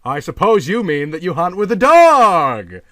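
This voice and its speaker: A man's voice: sits high, big pitch swings, measured pace, a bit bright, dry recording, studio quality, pitched above the performer's own voice, full character voice. A man voicing a stuffy country landowner in a storybook, demanding, indignant and authoritative. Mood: irritated.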